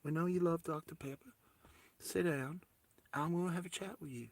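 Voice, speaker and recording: A man playing a gentle, apologetic boyfriend who talks in a soft, coaxing, halting way. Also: a little high, vocal fry, no echo.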